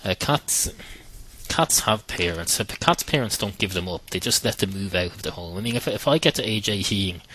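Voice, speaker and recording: A very deep-voiced man hosts his own podcast in a loose, rambling comic monologue. He is dryly sarcastic and swears now and then, stumbling through ums and repeated words as he goes. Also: expressive, normal speed, bright, forceful tone, breathy edge, poor audio. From Ireland. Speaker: podcast host.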